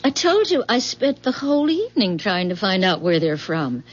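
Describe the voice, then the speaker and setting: An actress playing a curious, plain-spoken, puzzled wife in a 1970s radio drama, on a muffled recording. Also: female voice, mid-range voice, very animated, normal speed. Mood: curious, brisk.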